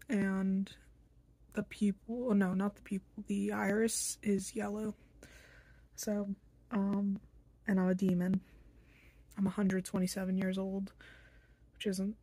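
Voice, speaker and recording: Young woman, slow flat delivery, curt and annoyed, casual to-camera vlog in a dry small room. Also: mid-range voice, gravelly, husky, no room sound. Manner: blunt, irritable, slow and halting with many fillers. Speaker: vlogger introducing themselves. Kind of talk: casual self-introduction to camera. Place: small bedroom indoors.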